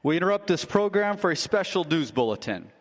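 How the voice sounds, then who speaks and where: An actor playing a radio news announcer in a live audio drama, speaking in formal newscaster style. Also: male voice, sits high, big pitch swings, brisk, big-room sound.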